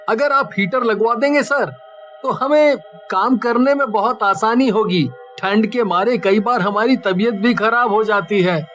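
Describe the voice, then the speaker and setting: An earnest factory worker, acted by a man in a cartoon, speaks politely in a strikingly high voice. The recording is muffled, with soft music underneath. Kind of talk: Hindi cartoon voice acting. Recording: dull lo-fi track with music.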